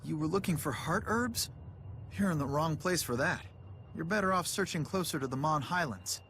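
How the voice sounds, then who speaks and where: A man's voice: fairly high pitch, lively intonation, moderate pace, fairly bright tone, a little hiss or hum. An actor playing a polite, earnest young male doctor in a video game, courteous and conciliatory. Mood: mild, polite. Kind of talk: video game dialogue acting.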